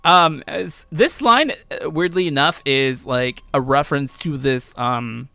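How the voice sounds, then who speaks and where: A man's voice: on the high side, measured pace, vocal fry, sharp tone, no echo. A man giving casual, slangy commentary as a game developer playing through his own game. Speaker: video creator and game developer.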